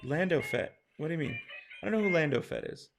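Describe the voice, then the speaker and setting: Tired, gravelly-voiced man chatting with his stream. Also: on the high side, animated, fast, music in the background. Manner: chatty, reads out viewer questions and answers them. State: hoarse, tired. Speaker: streamer answering chat. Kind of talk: casual live-stream chat.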